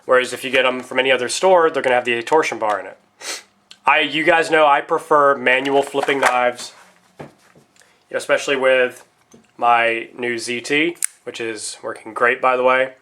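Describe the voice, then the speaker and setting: A man's voice: medium pitch, steady tone, normal speed, bright, forceful tone. A man vlogging casually as he chats about pocket knives, his voice still a little stuffy after a cold, rambling and addressing viewers directly. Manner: rambling, talks straight to viewers as guys. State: stuffy nose, slight lingering cough after a cold. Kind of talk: casual chatty product vlog.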